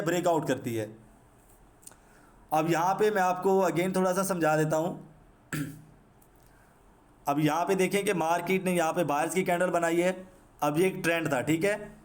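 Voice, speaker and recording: High-pitched Pakistani man explaining trades. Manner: repetitive, explanatory, peppered with English terms. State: sore throat, clearing it now and then. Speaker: trading instructor. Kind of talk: unscripted screen-share trading tutorial.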